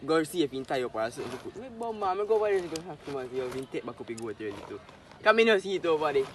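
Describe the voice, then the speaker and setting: A young man with a Jamaican accent, bantering and telling stories casually in excitable slang. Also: a little high, racing. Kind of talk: casual banter and storytelling among friends. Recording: noisy group chatter, outdoor ambience.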